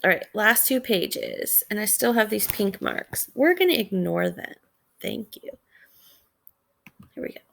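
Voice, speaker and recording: Slow-paced woman teacher reading aloud. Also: mid-range voice, expressive, creaky, a bit bright. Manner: measured, explanatory, pausing to question listeners. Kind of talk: read-aloud lesson with commentary and questions.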